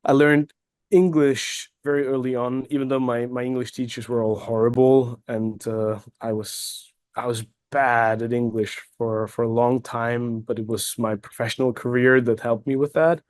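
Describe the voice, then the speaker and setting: A man's voice: medium pitch, level tone, moderate pace, darkish tone, dry recording. Reflective German-native man, dry sound. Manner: reflective, repeats words while searching for phrasing. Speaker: guest, cigar company executive. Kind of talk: casual conversational interview.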